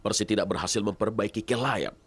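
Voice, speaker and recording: A man's voice: fairly low pitch, quick pace, slightly rough. A man narrating a scripted children's story expressively. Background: music.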